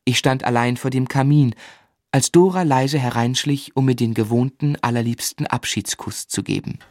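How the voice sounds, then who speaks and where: A man's voice: mid-range voice, moderate pace, a little mellow, dry recording, natural voice. An actor playing a tender, dreamy young man in love who narrates his life lyrically and reflectively in a literary radio play. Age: young adult.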